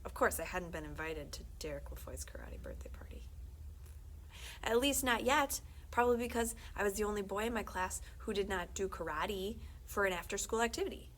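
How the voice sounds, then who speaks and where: A woman reading a children's book aloud as a librarian, conversational and expressive, often dropping into hushed, confiding tones. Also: medium pitch, fairly quick, slight background noise. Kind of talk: animated read-aloud of a children's book with brief asides.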